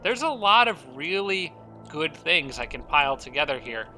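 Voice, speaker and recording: A man commentating his strategy game playthrough, thinking aloud with many fillers and restarts, his voice a little raspy from a dry throat. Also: fairly high pitch, very animated, moderate pace, bright, forceful tone, faint music behind. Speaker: strategy game let's-play host. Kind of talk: casual gameplay commentary.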